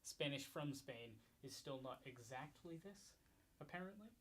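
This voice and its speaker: A man, a classical guitarist, chatting casually with his duo partner in a rehearsal and pausing often to find his words. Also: mid-range voice, animated, a bit bright, slight background noise. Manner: hesitant, many ums and restarts.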